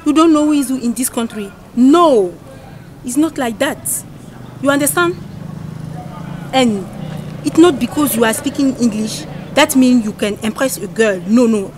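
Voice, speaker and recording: A woman acting in a comedy sketch, loud and scolding. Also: sits high, a little slow. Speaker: comic actress. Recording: noticeable background hiss.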